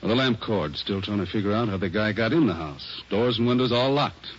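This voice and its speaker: An American actor playing a plain-spoken, businesslike chief of detectives in 1940s radio police drama. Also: male voice, lowish voice, a little fast, lightly acted. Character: brisk male chief of detectives giving orders. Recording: muffled old radio recording, faint noise.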